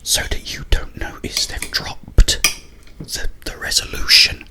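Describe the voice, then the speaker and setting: A man whispering a rambling, halting ASMR monologue about video games, with a breathy unvoiced whisper and frequent pauses. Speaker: ASMR creator.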